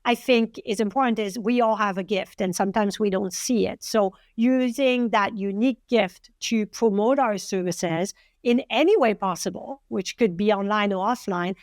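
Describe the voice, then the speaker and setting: A woman with a French accent hosting a podcast interview, talking in an animated, encouraging way with strong emphasis. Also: medium pitch, normal speed, hardly any pauses, dry recording, clean studio sound. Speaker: podcast host.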